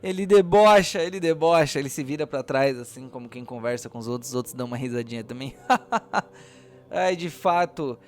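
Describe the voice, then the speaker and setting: A man narrating a tabletop role-playing game as game master, with an expressive, theatrical delivery. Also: mid-range voice, moderate pace.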